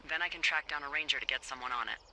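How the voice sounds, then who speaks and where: An actress playing a wry, teasing fire lookout supervisor in a video game, talking casually and dryly over a walkie-talkie. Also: female voice, deep voice, rapid-fire, sharp tone, a little hiss or hum, low-quality recording. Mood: dry, practical. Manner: dry, playful, conversational. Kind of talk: naturalistic video game voice acting, casual banter.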